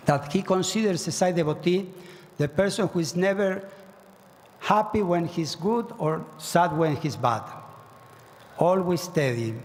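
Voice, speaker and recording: A man's voice: fairly high pitch, a little mellow. A man giving an earnest devotional address to a large gathering, pushing hard on key phrases. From Argentina. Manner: earnest, exhorting, with fervent rising emphasis. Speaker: speaker at a spiritual gathering. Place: large hall. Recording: long reverb of a large hall.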